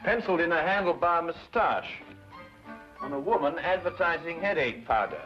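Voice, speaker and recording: Male actor, confident English detective, dryly witty, animated intonation, old-time radio drama, muffled recording, music underneath. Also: fairly high pitch, normal speed, natural voice. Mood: musing, deductive. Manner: nonchalant, deductive, dryly witty.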